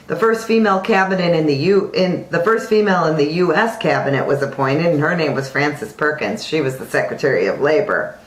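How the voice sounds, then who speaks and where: A woman's voice: fairly low pitch, roomy sound, low-quality recording. A woman co-hosting an informal mock news broadcast in a chatty, teasing way. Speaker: amateur newscast co-host.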